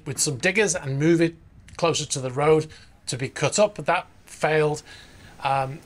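A man in his forties presenting a news bulletin from a studio on a lapel mic, reading briskly with frequent fillers and restarts. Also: mid-range voice, a bit bright. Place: indoor studio in front of a screen.

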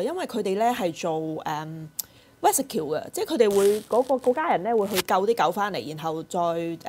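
Animated woman chatting in Hong Kong Cantonese accent. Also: mid-range voice. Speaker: podcast co-host. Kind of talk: casual chat, personal storytelling.

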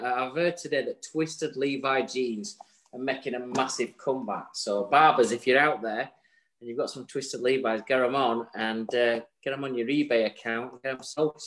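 A man's voice: mid-range voice, normal speed, a bit bright. A man, co-hosting a casual chat show over a video call, jokey and chatty, with the odd swear word. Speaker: co-host. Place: home room with virtual bar backdrop. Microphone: video call webcam.

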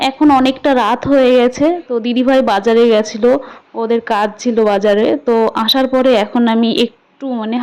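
A woman's voice: a little high, a little mellow, low-quality recording. A woman vlogger narrating her day casually and chattily, speaking straight to her viewers. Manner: chatty, addresses viewers directly.

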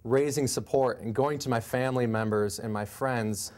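A man's voice: mid-range voice, fairly even intonation, moderate pace, darkish tone. A man in his thirties, a church leader, speaking steadily and encouragingly from a living-room sofa. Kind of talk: testimonial interview.

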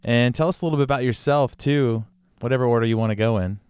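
Smooth-voiced enthusiastic podcast host man. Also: medium pitch, quick pace, darkish tone, dry recording, studio quality. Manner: enthusiastic, chatty, repeats words. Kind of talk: casual interview chat.

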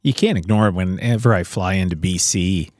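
A man's voice: low voice, a little fast, darkish tone, dry recording, clean studio sound. A man in his fifties chatting casually as a podcast host. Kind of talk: casual chat. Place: small podcast studio. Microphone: close podcast microphone on a stand.

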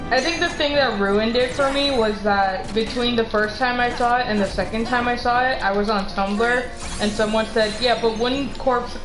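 A young adult woman co-hosting a game stream, chatting casually in an animated, rambling way. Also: medium pitch. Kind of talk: casual chat over gameplay. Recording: roomy stream with game music behind. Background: video game music.

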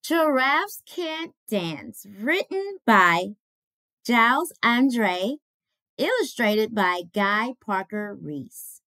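Animated, high-voiced woman reading to children. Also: drawn-out words. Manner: expressive, addresses young listeners as friends. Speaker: school counselor and read-aloud host. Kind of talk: animated children's storybook read-aloud and introduction. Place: furnished room at home, indoors.

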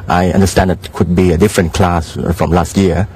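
A man interviewing a guest, asking questions haltingly with many pauses. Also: sits low, level tone, darkish tone, slight background noise. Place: outdoors at a regatta.

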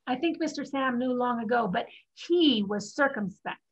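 A woman's voice: a little high, lively intonation, moderate pace, vocal fry. A woman acting a practical, defiant young woman in a staged drama reading over a video call. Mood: calm, reassuring. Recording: dull video-call audio.

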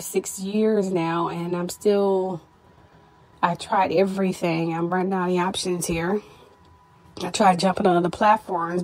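A tired, stressed woman hosting her own podcast, talking candidly to listeners in a casual personal update, her voice a little hoarse. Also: on the low side. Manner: candid, weary, stressed, with frequent "you know". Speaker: podcast host.

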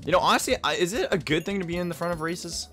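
A young man chatting casually through a racing game, halting and often repeating his phrases. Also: high voice, pushed and bright, faint music behind. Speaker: gaming video host. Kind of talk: casual banter while playing a kart racing game.

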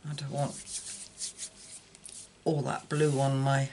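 An older woman giving an unscripted card-making demonstration, haltingly thinking aloud as she works at a table indoors. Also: rumbling low, monotone, mellow. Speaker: craft tutorial host. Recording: clear background noise, clicks.

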